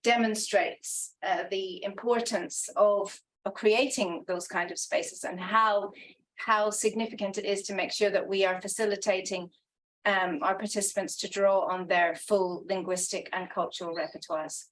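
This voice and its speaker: A woman's voice: mid-range voice, steady tone, unhurried, a bit bright, poor audio. A woman researcher giving a conference talk, earnest and reflective, with frequent restarts and filler sounds. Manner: hesitant, many restarts and ums. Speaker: academic researcher presenting.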